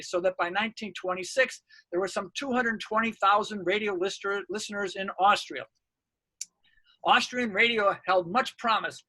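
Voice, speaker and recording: A man's voice: high voice, sharp tone, dry recording, rough recording. A man reading out a scripted academic research presentation.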